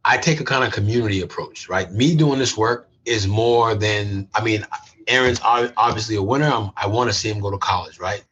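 A man's voice: fairly low pitch, brisk, fairly bright tone, muffled recording, slight background noise. An American man talking conversationally on a panel as a youth education worker, punctuating his points with "right?".

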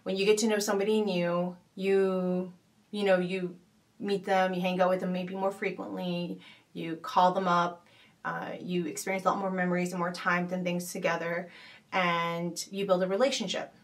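A woman hosting a podcast, speaking in a thoughtful, casual way with frequent pauses, fillers and restarts. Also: lowish voice, little pitch movement, far from the mic. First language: not Arabic. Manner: thoughtful, many fillers and restarts, gentle laughs. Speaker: podcast host. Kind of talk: casual reflective talk.

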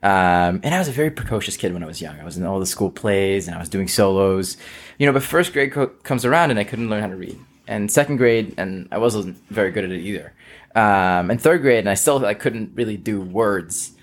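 Lower-voiced Israeli-born young man. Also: lively intonation, brisk. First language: Hebrew. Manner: earnest, personal storytelling with fillers. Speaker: guest, company founder. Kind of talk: casual interview.

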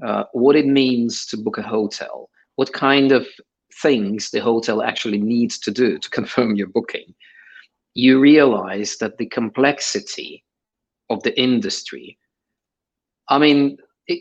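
A man's voice: mid-range voice, a little slow, slightly rough. A middle-aged man giving interview answers in a hesitant way, with many fillers and restarts. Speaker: guest.

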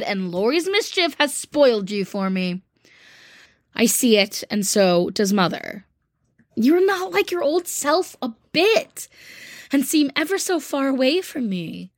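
A woman's voice: high-pitched, big pitch swings, takes it slowly, no echo, studio quality, voice pushed up, full character voice. A woman voicing a blunt, impatient, tomboyish teenage girl who speaks scornfully.

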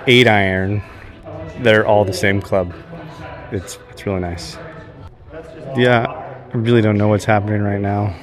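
A man with a lowish voice speaks slowly over clearly audible music. His casual banter is sarcastic, with a crude sense of humour. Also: fairly even intonation. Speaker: golfer. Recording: music playing behind the talk.

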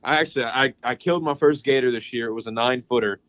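A mid-pitched man from Louisiana tells easygoing stories as a podcast guest, filling his talk with "you know" and "man." The sound is dry and slightly dull, a bit lo-fi. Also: quick pace, no room sound. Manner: relaxed storyteller, fillers like "you know, man". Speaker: guest, app co-owner. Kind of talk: casual podcast chat.

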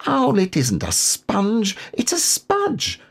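A little storybook kangaroo child, bubbling with eagerness. The voice is squeaky and very high, swooping about as it chatters. It sounds childish and gushing. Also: male voice, wildly swooping pitch, slow pace, vocal fry, slightly rough, exaggerated voice. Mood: excited, eager. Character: excitable, chattering little baby kangaroo boy.

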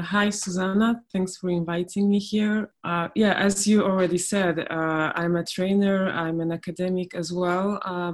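A woman from Poland, an academic guest on a podcast, answering thoughtfully with frequent "uh" hesitations. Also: fairly low pitch. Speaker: guest, linguist and trainer. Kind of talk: conversational interview answer.

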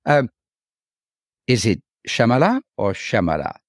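A man with a French accent hosting a podcast interview, speaking haltingly with frequent fillers and restarts. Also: medium pitch, wildly swooping pitch, no echo, studio quality. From France. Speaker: podcast host. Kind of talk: casual interview chat.

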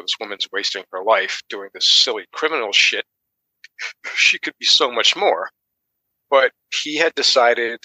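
Male podcast co-host, strikingly bright sharp voice, casual, tentative explaining, dry close recording. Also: medium pitch, dry recording, rough recording. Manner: tentative, informal, hedging as he explains. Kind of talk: casual book summary.

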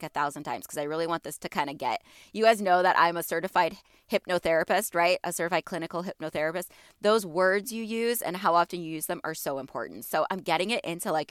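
A woman's voice: lowish voice, no echo, studio quality. Stuffy-voiced woman hosting a wellness talk. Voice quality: slightly congested. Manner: warm encouraging, asks listeners questions. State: stuffy from allergies. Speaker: podcast host. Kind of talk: casual wellness talk to listeners.

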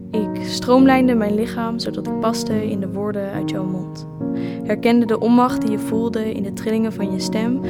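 A woman reciting a poem as a spoken word poet. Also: a little high. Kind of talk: poetry recital. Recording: loud guitar music behind the voice.

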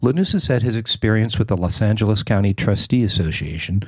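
A man's voice: fairly low pitch, lively intonation, a little fast, breathy edge, no room sound, clean studio sound. A man reading scripted news narration as a podcast host and reporter.

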